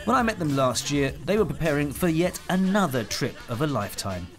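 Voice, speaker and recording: A man narrating a travel report in a measured, even, scripted voice-over. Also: a little high. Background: music.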